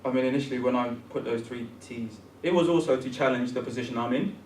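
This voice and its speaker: A man's voice: mid-range voice, fast, darkish tone, a little hiss or hum. Man, hesitant, lots of ums, mid voice, echoey room, far from the mic, faint ambience. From England, partly raised in Ghana. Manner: searching, lots of ums and restarts, chuckles. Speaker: guest programmer. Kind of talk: conversational discussion. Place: room at a public talk event.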